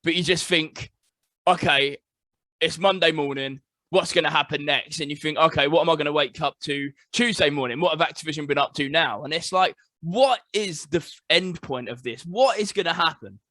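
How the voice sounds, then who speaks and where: A man's voice: fairly high pitch, moderate pace, sharp tone, no echo. A man from England hosting a casual esports podcast, upbeat and leading the chat with short reactions. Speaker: podcast host.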